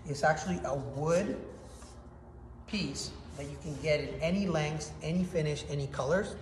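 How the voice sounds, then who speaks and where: A man's voice: a little high, normal speed, darkish tone. Upbeat man reviewing hardware in echoey room. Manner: upbeat, enthusiastic, demonstrating with hands. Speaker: product reviewer. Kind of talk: casual product review to camera. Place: indoor workshop with hard walls. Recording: echoey room, faint background noise.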